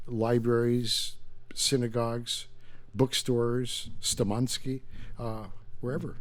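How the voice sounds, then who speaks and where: A man's voice: medium pitch, level tone. Older male author, Yiddish native speaker; emphatic, rambling interview guest. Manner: emphatic, digressive, halting restarts. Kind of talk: casual interview.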